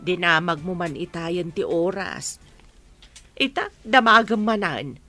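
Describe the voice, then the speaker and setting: A woman's voice: on the low side, animated, takes it slowly, sharp tone, soft background music, full character voice. A woman playing a questioning older village wife in an Ilocano radio comedy drama, with a Filipino accent. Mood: questioning, puzzled.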